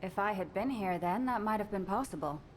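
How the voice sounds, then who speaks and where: A woman acting as a proud, earnest female soldier in a sci-fi game. Her voice sits at a medium pitch, her delivery is measured, and faint noise lies behind it. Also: lively intonation, natural voice. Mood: proud, indignant. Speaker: video game voice actor. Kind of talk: scripted game cutscene acting.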